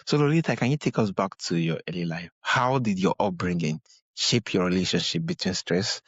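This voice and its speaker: A man's voice: deep voice, fairly quick, a bit bright, breathy edge, no room sound. A man hosting an interview podcast, speaking hesitantly with many uhs and repeating words of praise. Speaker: podcast host. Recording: dull lo-fi dry mic.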